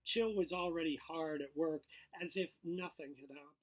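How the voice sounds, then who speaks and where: A man reading a novel aloud in an expressive narrative style, as its author. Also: a little high, normal speed, low-quality recording. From Canada.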